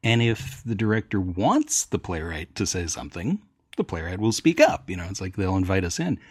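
A man guests on a casual discussion as the playwright. His pitch moves widely as he talks, in a very dry recording. He comes across as self-deprecating and a bit hesitant to butt in. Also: animated, moderate pace, husky, dry recording. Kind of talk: casual chat.

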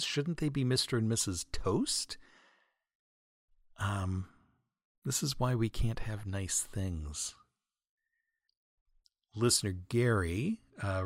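Male comic host for a scripted mailbag segment; expressive, swooping announcer read with dry wit, in a dry, echo-free recording. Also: lowish voice. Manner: mock-earnest, dry, wry delivery. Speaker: podcast host.

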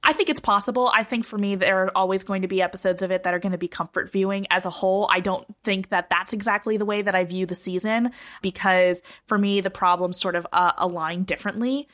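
A woman with a medium-pitched voice co-hosts a podcast. She talks casually and conversationally, offering measured opinions that she qualifies with care. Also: steady tone, a bit bright. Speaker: podcast co-host.

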